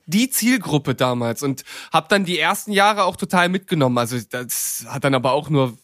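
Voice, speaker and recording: A man's voice: fairly high pitch, animated, normal speed, fairly bright tone, no room sound, clean studio sound. A man in his thirties reminiscing casually as a podcast host. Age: 30s.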